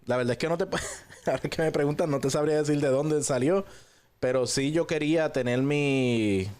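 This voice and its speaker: A man from Puerto Rico with a Puerto Rican accent, telling his story in a relaxed, conversational way with frequent hesitant pauses. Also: a little high. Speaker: guest giving a personal testimony.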